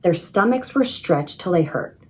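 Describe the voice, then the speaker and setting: A woman reading a children's story in an expressive, measured storytelling manner. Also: fairly low pitch. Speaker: storybook reader. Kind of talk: scripted children's story narration.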